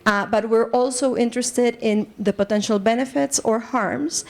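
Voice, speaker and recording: A woman's voice: a little high, fairly even intonation, moderate pace. A woman from Colombia with a Colombian accent, an assistant professor giving a lecture to a live group, earnest, with many fillers and restarts. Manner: earnest, lots of fillers and restarts, engages audience. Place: conference room.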